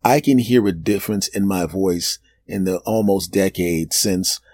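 Joking low-voiced man in his fifties. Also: a little slow, a little mellow, dry recording. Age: 50s. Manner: rambling, jokey, laughs at own jokes, drops into low asides. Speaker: podcast host. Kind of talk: solo comedic podcast monologue.

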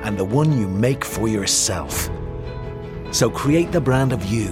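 A man's voice: fairly low pitch, expressive, measured pace, music in the background. A man narrating a scripted motivational advertisement. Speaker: advertisement voice-over.

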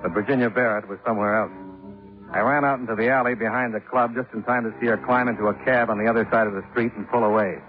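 Guy playing hard-boiled private eye, deadpan and wry, old tinny radio noir, music underneath. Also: fairly low pitch, quick pace, close to an everyday voice. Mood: wry narrating. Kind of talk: 1950s radio noir narration and dialogue.

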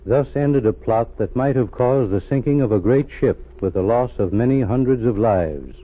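An actor playing a secret agent storyteller, gravely reading scripted 1930s radio narration on a tinny old recording. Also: male voice, medium pitch, animated, normal speed, natural voice. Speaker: narrator-host.

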